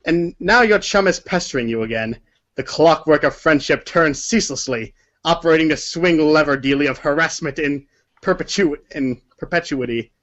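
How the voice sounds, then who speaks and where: A man's voice: on the high side, normal speed, a bit bright, lo-fi sound. A man narrating a story aloud as if from a storybook, sometimes stumbling over words and correcting himself. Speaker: narrator of a group readers' theater.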